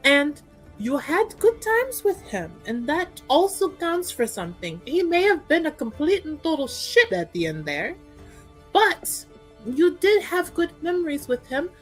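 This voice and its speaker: Woman, high animated voice, casual hesitant roleplay, improvised dialogue and action, background music. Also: a little slow, poor audio. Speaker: tabletop RPG player. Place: online streamed game session.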